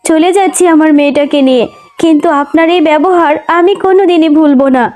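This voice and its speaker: A woman as a plaintive, very high-pitched mother fox. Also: fast talker, darkish tone, lightly acted. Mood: hurt, reproachful. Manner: plaintive, pleading. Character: poor, sorrowful widowed mother fox, gentle and pleading. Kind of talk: children's cartoon story dialogue. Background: music.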